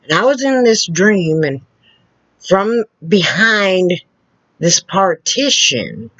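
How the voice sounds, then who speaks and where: Slow, lively-voiced woman telling stories. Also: on the low side, no echo. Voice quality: loud, carrying voice. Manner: slow, halting, with restarts and asides. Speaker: storyteller. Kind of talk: casual personal storytelling. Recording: dull lo-fi recording.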